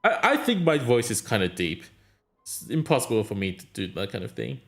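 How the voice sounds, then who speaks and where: Chatty male game streamer, animated voice. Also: medium pitch, brisk, vocal fry. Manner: rambling, answers chat, trails off. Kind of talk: casual live-stream chat while gaming.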